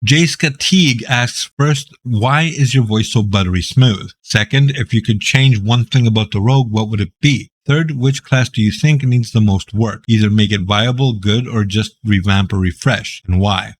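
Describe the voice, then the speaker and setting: A man from Greece talks through viewer questions in a relaxed, rambling way. He has a raspy voice that sits on the low side. He jokes often and keeps his delivery loose and unhurried. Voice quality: deep, raspy. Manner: laid-back, joking, rambling. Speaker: gaming YouTuber. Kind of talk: casual Q&A reading viewer questions.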